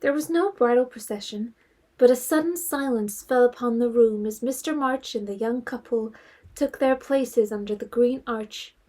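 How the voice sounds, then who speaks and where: Woman narrating a period story, soft-edged mellow voice, on the high side. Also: moderate pace. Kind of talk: scripted literary narration for audio drama.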